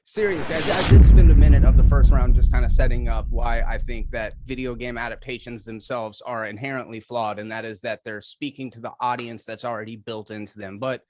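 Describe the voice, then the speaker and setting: A man's voice: mid-range voice, steady tone, a little fast, few pauses, background noise. A man making a casual, off-the-cuff debate argument with a sarcastic, wry manner. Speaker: debate contestant, film podcaster.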